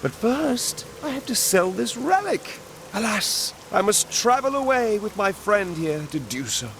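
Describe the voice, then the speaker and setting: A man voicing a roguish, smooth-talking thief who is sly and flirtatious. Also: sits high, big pitch swings, unhurried, fairly bright tone, full character voice. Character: roguish charming male thief and scoundrel. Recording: noisy background.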